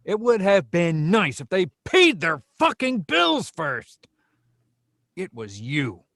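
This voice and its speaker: A man's voice: high voice, wildly swooping pitch, slow pace, fairly bright tone, no echo, clean studio sound, full character voice. A man acting as a domineering, accusatory boss of a detective agency in a screenplay table read. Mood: accusing.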